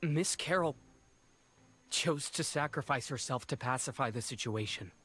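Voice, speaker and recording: A man's voice: mid-range voice, moderate pace, pushed and bright. A man voicing a dutiful guard giving formal, matter-of-fact reports in a video game. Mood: grave, formal.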